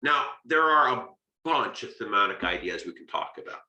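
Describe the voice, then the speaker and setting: A man's voice: medium pitch, lively intonation, moderate pace, sharp tone, roomy sound, low-quality recording. An American man giving an informal literature lecture, conversational and emphatic, checking his points with short rhetorical questions. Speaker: literature lecturer and video host.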